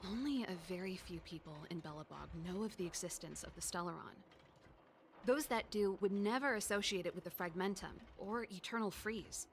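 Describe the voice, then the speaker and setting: A woman's voice: mid-range voice, fairly quick, a little hiss or hum, close to an everyday voice. A woman playing an easygoing, self-assured mechanic and former researcher in a video game, breezy and confiding, with theatrical delivery. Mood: earnest. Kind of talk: scripted game dialogue. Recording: game music behind the voice.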